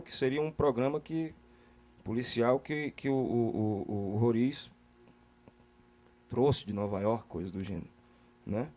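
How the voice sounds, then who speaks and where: Mellow Brazilian man talking casually. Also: medium pitch. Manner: colloquial, repeats words, often checks the listener follows. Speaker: interview guest, musician. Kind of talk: casual, opinionated interview talk.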